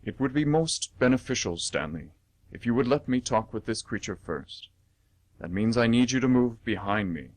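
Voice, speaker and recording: A solemn monk warrior acted by a man speaks with calm command. His phrasing is stately and emphatic, in a mid-pitched heroic radio play voice. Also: normal speed, close to an everyday voice.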